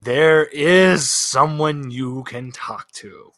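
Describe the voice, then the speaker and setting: A man's voice: on the high side, very slow, fairly bright tone, no room sound, studio quality. A man acting as game master, improvising casual narration for a tabletop roleplaying game.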